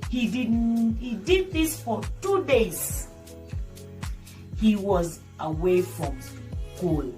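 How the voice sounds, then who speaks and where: A woman's voice: mid-range voice, lively intonation, drawn-out words, long pauses, warm tone. A woman reading a children's story aloud as a teacher, explaining and repeating points in a didactic way for young listeners. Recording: distant microphone, music behind the voice. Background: music.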